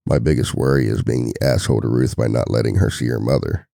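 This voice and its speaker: A man playing a devoted, worried single-dad boyfriend in an intimate ASMR roleplay, confiding softly with a gravelly voice. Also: fast talker, no room sound, clean studio sound, exaggerated voice. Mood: frustrated, torn. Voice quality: very deep, gravelly.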